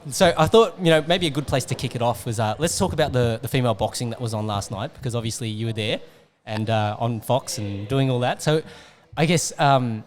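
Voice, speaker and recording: Fast-talking man hosting. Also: medium pitch. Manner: rambling questions with many fillers. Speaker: podcast host. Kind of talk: casual interview chat.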